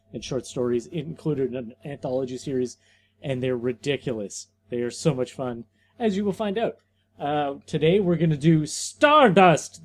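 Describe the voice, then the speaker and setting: A man's voice: on the high side, a little mellow. A man co-hosting a comic podcast over a video call, explaining things loosely with jokes and filler words. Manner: loose, joking, halting with fillers. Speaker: podcast co-host. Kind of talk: casual chat.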